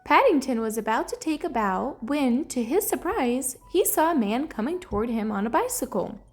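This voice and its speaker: A woman reading a children's story aloud in an expressive, storytelling manner. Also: high-pitched, moderate pace. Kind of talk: children's read-aloud narration. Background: soft music.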